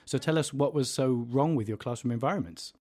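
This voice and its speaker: A man's voice: mid-range voice, brisk, darkish tone. A man in his thirties, a teacher co-hosting a casual podcast with a playful, self-mocking humor. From England.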